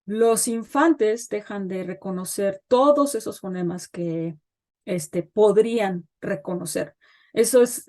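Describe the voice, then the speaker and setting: A middle-aged woman, a guest expert, explains child development in an interview, pausing often with fillers as she thinks. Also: medium pitch, a little mellow. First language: Spanish. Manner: thoughtful, pauses with frequent fillers.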